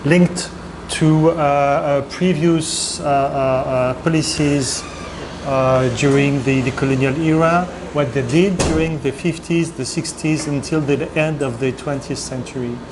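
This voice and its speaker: A man's voice: on the high side, steady tone, measured pace. A man giving a guest lecture, hesitant and full of fillers and restarts, in a room with air conditioning hum. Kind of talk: academic lecture.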